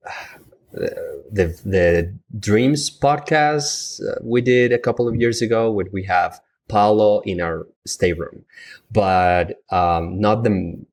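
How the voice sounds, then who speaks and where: Colombian man, native Spanish, deep voice, halting casual chatter. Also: darkish tone. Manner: halting, many fillers and restarts. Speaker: travel agent, guest. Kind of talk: casual podcast chat.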